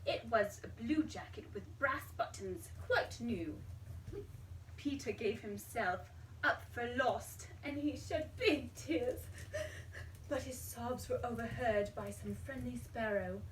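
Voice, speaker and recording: A woman reading a children's storybook aloud in an expressive, dramatic way, pausing to put questions to a young child. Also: fairly high pitch. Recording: roomy, distant mic, faint background noise.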